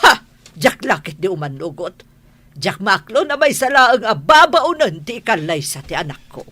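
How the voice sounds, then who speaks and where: A woman's voice: mid-range voice, sing-song, normal speed, breathy edge, no room sound. A woman with a Filipino Ilocano accent playing a haughty, scolding, fretful mistress of the house in a comedy radio drama. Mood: worried, indignant. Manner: sharp, scolding, nagging.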